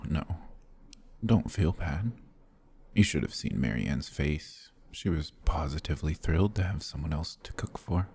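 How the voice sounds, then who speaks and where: A man voicing a courtly, gentle vampire lord in a fantasy ASMR roleplay, speaking in a formal, measured, soft-spoken way. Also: heavily acted. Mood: gentle, reassuring. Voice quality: husky, low.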